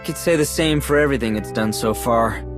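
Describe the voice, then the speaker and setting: A man's voice: medium pitch, brisk, soft background music, close to an everyday voice. A man playing a wary, skeptical adventurer in a sci-fi video game, questioning things in a wry manner. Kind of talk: video game cutscene acting.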